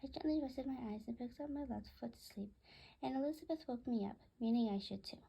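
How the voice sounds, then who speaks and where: A woman narrates a read-aloud story in a high, soft-toned voice with noticeable creak. It is a little husky, and she now and then stumbles and corrects a word. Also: brisk, low-quality recording. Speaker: author reading own story aloud.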